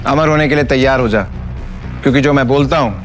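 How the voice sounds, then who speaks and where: A man's voice: medium pitch, steady tone, fast, soft background music, close to an everyday voice. A man playing a defiant, swaggering underdog husband in an audio drama, talking with scorn. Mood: menacing. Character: defiant, cocky underdog husband with secret power. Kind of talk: Hindi audio drama acting.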